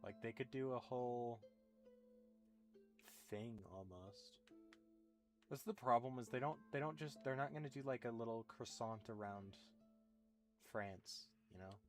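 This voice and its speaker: A man's voice: mid-range voice, unhurried, pauses often, soft background music. A man giving casual, rambling gameplay commentary with bemused reactions as he streams a video game.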